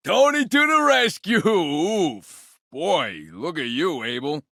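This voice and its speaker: A man playing a cocky, folksy handyman rescuer in a game, boastful and chummy, with a gravelly streetwise delivery. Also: fairly high pitch, very animated, takes it slowly, sharp tone, no room sound, clean studio sound, full character voice. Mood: cocky, reassuring.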